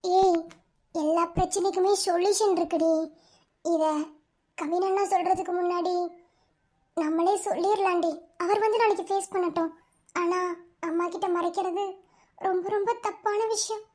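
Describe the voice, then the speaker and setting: A woman's voice: very high voice, steady tone, moderate pace, long pauses, darkish tone. A woman playing a protective, remorseful young friend in a melodrama, earnest and reassuring as she urges. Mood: firm reassuring.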